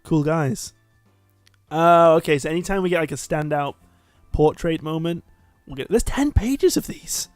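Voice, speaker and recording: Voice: mid-range voice, animated, moderate pace, no room sound, soft background music. A let's play co-host giving casual, chatty gameplay commentary.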